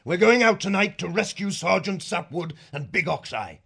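A man's voice: sits high, big pitch swings, normal speed, creaky, a bit bright, slightly rough, heavily acted. An actor playing a stern male badger lord giving curt orders. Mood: commanding.

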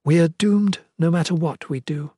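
Man voicing a grieving noblewoman, raised pitch. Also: moderate pace, dark tone, breathy edge, dry recording, clean studio sound. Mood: despairing. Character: grieving, resolute mother, a noblewoman in disguise.

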